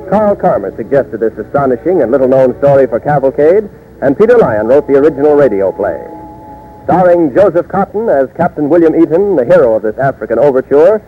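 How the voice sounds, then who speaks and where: A man's voice: mid-range voice, big pitch swings, brisk, a little hiss or hum. A man announcing and narrating a 1940s radio drama in a dramatic, declamatory style. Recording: tinny old radio broadcast. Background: music.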